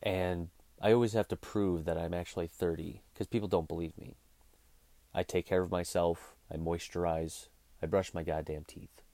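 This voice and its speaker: A man's voice: deep voice, steady tone, moderate pace, mellow, no echo. A man in his thirties hosting his own show, talking casually in a blunt, swearing rant. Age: 30s. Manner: blunt ranting, swears freely. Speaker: podcast host. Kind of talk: casual monologue.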